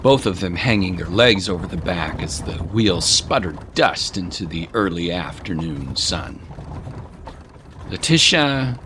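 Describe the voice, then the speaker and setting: A man's voice: sits low, noisy background. A man reading scripted story narration as the narrator of an audio drama. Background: music.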